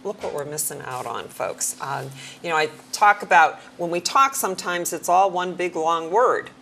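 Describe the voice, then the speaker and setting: A middle-aged woman with a fairly low voice talks through practical hearing tips in a friendly, down-to-earth way. She sometimes slows right down to show how to speak clearly. Faint noise sits under the recording. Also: expressive, fairly bright tone. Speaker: hearing care professional, guest. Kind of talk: conversational advice on a talk show.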